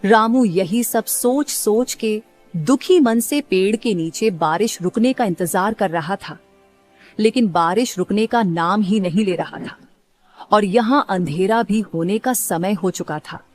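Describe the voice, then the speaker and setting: A woman's voice: mid-range voice, a little slow, a little mellow, soft background music. A woman reading scripted narration for a story as its narrator.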